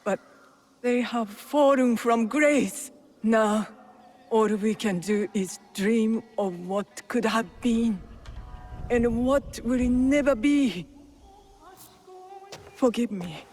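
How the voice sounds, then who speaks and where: A grieving, devout woman in a dramatic game scene. Her voice is high and her delivery very slow, soft and wistful. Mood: wistful, sorrowful. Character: mournful, devout woman praying for her fallen clan. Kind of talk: dramatic video game cutscene acting.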